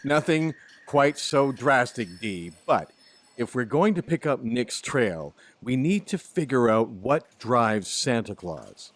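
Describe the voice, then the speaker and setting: A man's voice: mid-range voice, big pitch swings, slow. A man acting as an earnest space investigator in an audio drama. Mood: focused. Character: earnest male space investigator leading a search.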